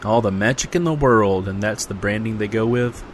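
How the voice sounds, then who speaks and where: Exasperated, low-voiced sarcastic everyman, acted by a man. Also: normal speed, slight background noise, lightly acted. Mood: wry. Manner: sarcastic, incredulous. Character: Jesse, exasperated everyman dragged along to a magic convention. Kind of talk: comedic audio drama dialogue.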